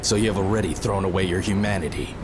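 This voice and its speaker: A man playing a stern, composed military commander in a video game, speaking in a measured, authoritative way. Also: low-pitched, fairly even intonation, heavy background noise, close to an everyday voice. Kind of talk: video game cutscene acting.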